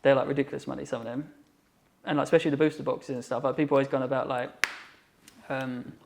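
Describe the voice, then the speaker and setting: A man's voice: medium pitch, little pitch movement, vocal fry, noisy background. A man, chatting casually as a guest, rambling with lots of fillers. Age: 30s. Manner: rambling, filler-heavy, quick. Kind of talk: casual chat.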